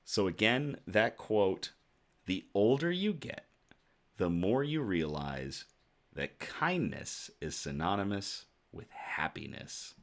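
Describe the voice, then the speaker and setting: A man hosting a casual livestream, chatting to viewers in a jovial, effusive way, his voice slightly rough from shouting. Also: low-pitched, big pitch swings, slow pace. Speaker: host of a casual news and beer livestream.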